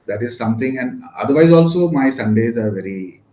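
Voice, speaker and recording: A man's voice: medium pitch, expressive, brisk, warm tone. A man in his fifties, a native Maithili speaker with an Indian English accent, answering interview questions in a rambling way with many fillers. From India, West Bengal. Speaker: guest, real estate lawyer. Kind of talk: casual interview conversation. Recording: echoey room with faint noise.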